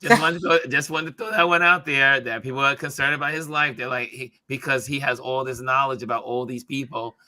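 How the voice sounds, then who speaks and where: A man hosting a casual news panel discussion, speaking in a halting way and repeating phrases as he builds his point. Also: medium pitch, fairly even intonation, bright, forceful tone, a little hiss or hum. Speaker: talk show host.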